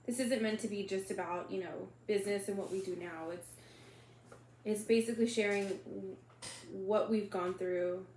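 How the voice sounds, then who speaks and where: A woman's voice: medium pitch, steady tone, moderate pace, a little mellow. A woman in her thirties from Texas co-hosting a casual podcast, talking in a playful, joking way. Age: 30s. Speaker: podcast co-host. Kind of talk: casual chat. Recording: echoey room, faint noise.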